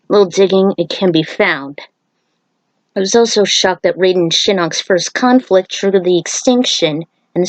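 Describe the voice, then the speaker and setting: Female fan narrator, average pitch, emphatic and opinionated, informal commentary delivery, very dry sound. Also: no room sound, poor audio. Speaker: fan fiction author and video narrator.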